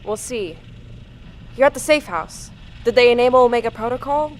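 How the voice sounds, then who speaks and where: A woman's voice: a little high, animated, moderate pace, a little hiss or hum, lightly acted. An actress playing a weary, shaken woman survivor in an apocalyptic audio drama, with a blunt, wry way of talking.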